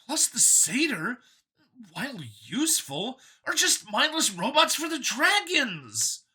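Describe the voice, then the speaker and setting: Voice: sits high, sing-song, slow pace, pushed and bright, husky, pitched above the performer's own voice, exaggerated voice. A narrator voicing a smug, bigoted android copy of a man in an audiobook, with an eager, boastful, emphatic and sneering delivery. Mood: sneering, fervent.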